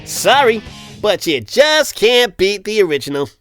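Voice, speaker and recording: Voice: medium pitch, sing-song, a little slow, fairly bright tone, faint music behind. An actor voicing a cocky, upbeat young male hedgehog hero with a breezy manner in a fan audio drama. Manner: breezy, taunting.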